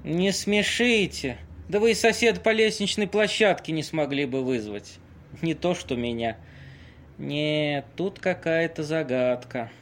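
An actor in an amateur audio play playing Casanova as a worldly, theatrical Venetian seducer who tells things with weighty pauses. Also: male voice, a little high, expressive, a bit bright, slight background noise. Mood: haughty, intrigued. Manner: dramatic storytelling with weighty pauses.

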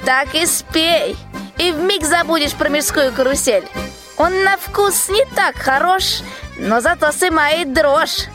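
Fairy-tale radio witch, actress: old, sly, high chanting voice, drawn-out delivery, music behind. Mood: folksy, sly. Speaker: student radio-play actor. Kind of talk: rhymed radio play acting.

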